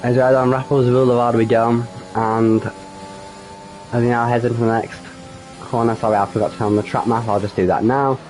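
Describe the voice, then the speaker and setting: A man giving solo live commentary on an online racing league, slightly croaky from a cold, hesitant with frequent ums and self-corrections. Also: medium pitch, little pitch movement, brisk, darkish tone, soft background music, low-quality recording.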